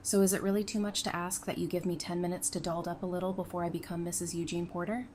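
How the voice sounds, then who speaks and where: A woman's voice: fairly low pitch, little pitch movement, quick pace, a little mellow, slight background noise, lightly acted. A woman playing a feisty, affectionate, independent bride in an audio drama, speaking in a casual, frank, teasing way. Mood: exasperated, firm.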